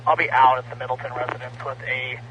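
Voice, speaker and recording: A man's voice: mid-range voice, little pitch movement, fast talker, pushed and bright. A man reporting as a police officer over two-way radio in terse, procedural phrases. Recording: noisy police scanner radio from the field.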